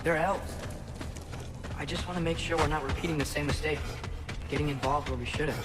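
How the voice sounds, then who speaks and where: A man's voice: fairly high pitch, fairly even intonation, fairly quick, close to an everyday voice. A young-sounding male actor playing an earnest, compassionate teenage boy in a video game, speaking in a casual, hesitant, questioning way. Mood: troubled, questioning. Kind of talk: naturalistic video game acting.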